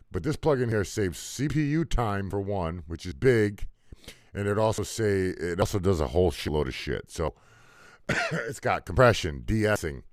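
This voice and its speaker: A man with a very low, deep voice walks through a music mixing lesson in a loose, rambling way, full of fillers and offhand swearing. His voice sits dry and close, heard through a desktop mic. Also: no echo. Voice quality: deep voice, muffled by a faulty mic input. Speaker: music producer teaching mixing. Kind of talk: casual screen-recorded tutorial. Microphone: desktop mic into recording software.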